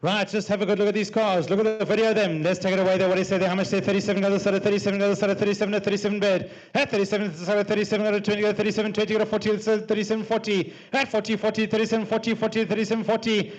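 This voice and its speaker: A man auctioneering livestock, rattling off bids in a fast, rhythmic chant. His voice sits high and stays flat, looping the same figures over and over at a racing clip. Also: poor audio. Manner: repetitive rhythmic bid calling.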